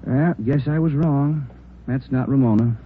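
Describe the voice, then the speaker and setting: An American actor playing a chummy, forgetful, insistent morgue attendant with a casual, folksy manner in a 1940s radio drama. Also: male voice, mid-range voice, unhurried, lightly acted. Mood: insistent, doubtful. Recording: muffled 1940s radio, faint hum.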